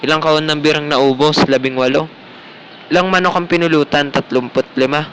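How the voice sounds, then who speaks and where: A thrifty young Filipino husband played by an actor in a radio drama, with a fairly high voice. He reasons politely but stubbornly. A little noise sits behind him. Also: fairly even intonation, fairly quick, a bit bright, lightly acted, rough recording. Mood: exasperated reasoning. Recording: faint background noise.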